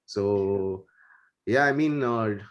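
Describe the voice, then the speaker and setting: Indian guy w a lowish voice chatting sports analysis, rambles a bit, casual. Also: extremely slow. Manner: discursive, punctuated with "you know". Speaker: cricket show panelist.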